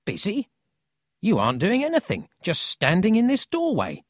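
A narrator voicing a cocky, excitable but friendly city boy in a children's storybook, brash and eager. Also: medium pitch, measured pace, husky, dry recording, studio quality, voice pushed up, exaggerated voice. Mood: teasing.

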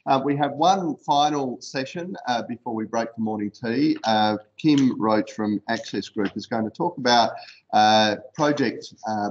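A man introducing the next speaker at a conference, hesitant, with many fillers. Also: on the low side. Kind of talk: event announcements. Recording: dull sound with faint noise.

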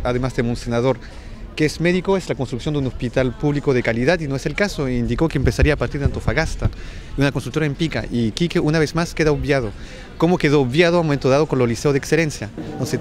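A man in his thirties with a Chilean accent, giving a critical analysis in an outdoor interview by a handheld microphone. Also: medium pitch. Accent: Chilean Spanish. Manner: critical, rapid argument. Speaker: political analyst. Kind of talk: press interview. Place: outdoor seafront street.

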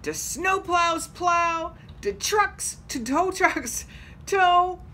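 A woman's voice: very high-pitched, animated, drawn-out words, slight background noise, pitched above the performer's own voice, exaggerated voice. A woman doing a chirpy, can-do bus driver lady. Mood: cheerful. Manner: bright, eager and emphatic.

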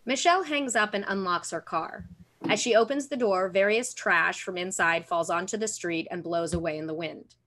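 Bright-voiced woman reading stage directions. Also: on the low side, normal speed. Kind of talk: scripted narration. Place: room at home. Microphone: computer on video call.

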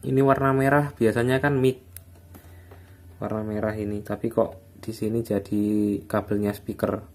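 A man narrates a casual hands-on tutorial in an informal, unscripted, halting way, recorded indoors at a workbench. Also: lowish voice, flat delivery. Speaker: electronics repair hobbyist giving a tutorial. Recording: background noise, phone or camera microphone.

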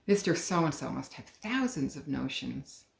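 A middle-aged woman, an author, reading her children's book aloud at home in a calm, clear storytelling manner. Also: deep voice, unhurried. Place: living room at home. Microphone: webcam, head and shoulders. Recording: roomy living room.

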